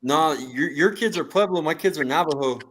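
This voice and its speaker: A man hosting, chatting in a relaxed way with plenty of fillers. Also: a little high, a little fast, a crowd in the background, poor audio. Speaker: host. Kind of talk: casual group chat.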